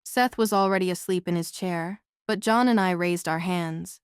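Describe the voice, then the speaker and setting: A woman's voice: lowish voice, moderate pace, clear, resonant tone, no room sound, clean studio sound. A woman narrating a novel as a scripted audiobook.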